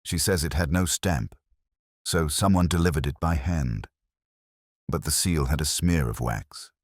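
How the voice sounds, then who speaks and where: A man's voice: rumbling low, measured pace, no room sound, studio quality. A man voicing a smug, prim village woman who relishes gossip, in an audiobook mystery. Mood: gossipy.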